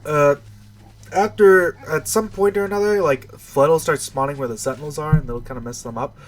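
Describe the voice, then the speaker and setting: A man's voice: fairly high pitch, brisk. A man with a stuffy, nasal voice giving casual, rambling, unscripted commentary while playing a video game. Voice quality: nasal, congested. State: stuffy nose.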